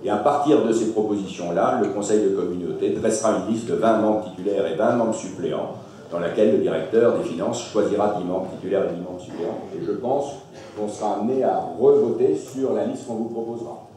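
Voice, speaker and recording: Echoey, low-voiced man explaining haltingly. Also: mellow. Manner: hesitant, halting, self-correcting. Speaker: local councillor presenting a report. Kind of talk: semi-improvised explanation at a council meeting. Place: large echoey meeting hall. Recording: echoey hall, distant mic, faint noise.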